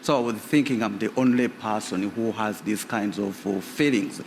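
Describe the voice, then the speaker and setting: A man's voice: lowish voice, steady tone, normal speed, a little mellow, slight background noise. Hesitant man from Uganda telling his story. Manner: hesitant, with many fillers and restarts. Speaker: interview guest. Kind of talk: personal storytelling in interview. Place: radio theatre stage. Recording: reverberant live theatre.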